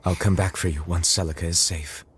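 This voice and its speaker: Casting: male actor as steady, kindly warrior mentor, low register, measured and reassuring, anime game drama. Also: noisy background, lightly acted. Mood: tender, reassuring. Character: gentle, steady swordsman mentor reassuring a frightened child.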